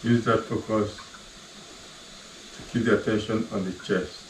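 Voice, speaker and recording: A man leading a guided relaxation, giving slow, soft, calm cues with long pauses between them. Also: on the low side. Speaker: meditation guide. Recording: roomy echo, voice far from the mic, faint ambient hum.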